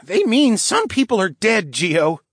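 A blunt young lynx boy acted by a man in a fantasy audiobook, high and lively. He talks in an emphatic, boyish way, dry and close. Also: normal speed, vocal fry, no echo, exaggerated voice. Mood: urgent, hissing. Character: young lynx demi-human boy, blunt, excitable, protective.

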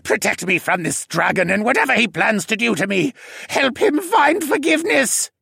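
A man's voice: mid-range voice, wildly swooping pitch, unhurried, a bit bright, husky, no room sound, studio quality, full character voice. A man voicing a cowardly, whining young male assassin sorcerer in a fantasy audiobook, whimpering and sulky. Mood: terrified pleading.

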